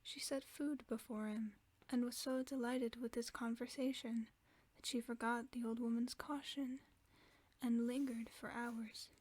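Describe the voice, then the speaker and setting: A woman reading a fairy tale aloud for sleep in a soft, steady whisper. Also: on the high side, measured pace. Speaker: ASMR storyteller.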